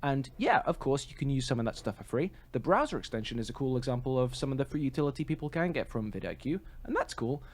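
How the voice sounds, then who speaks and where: A man with a middle-pitched voice co-hosts a podcast. He speaks in a casual, conversational way, as if chatting things over with his fellow host. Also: expressive, fast talker, hardly any pauses.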